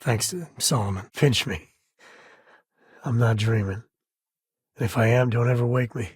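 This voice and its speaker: Sardonic, caring middle-aged space ranger dad for a game; folksy, slightly husky, dry studio sound. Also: male voice, mid-range voice, measured pace, no room sound. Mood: wry, relaxed. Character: wry, devoted space-frontier father and ranger, sardonic but caring. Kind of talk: video game companion dialogue.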